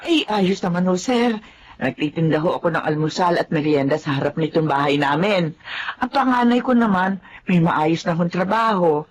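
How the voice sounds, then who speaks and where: Expressive middle-aged Filipino housemaid played by an actress. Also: female voice, lowish voice, moderate pace, slight background noise, lightly acted. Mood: humble, warm. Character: long-serving loyal housemaid and mother, humble, hurt, forgiving. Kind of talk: Filipino radio drama acting and first-person narration.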